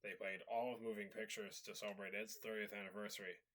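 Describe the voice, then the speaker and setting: A man's voice: on the low side, flat delivery, fast, a bit bright. Sniffly man rambling through a casual rant. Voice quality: slightly raspy. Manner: rambling, with many fillers and repeated "so yeah". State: recovering from a cold, sniffly. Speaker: video host. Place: at home.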